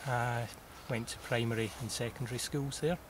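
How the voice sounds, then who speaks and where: Scottish man, 30s, interview guest, easygoing and halting, mid-range voice, audible background noise. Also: medium pitch, steady tone. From southwest Scotland. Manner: unhurried, with frequent "uh" pauses and occasional laughs. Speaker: mountaineer guest. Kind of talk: interview answers.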